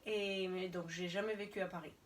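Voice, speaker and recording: A woman's voice: lowish voice, fairly even intonation, a little fast, distant mic. A woman in her thirties from Spain, a guest in an interview, chatting casually with frequent hesitation fillers. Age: 30s. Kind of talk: casual conversation.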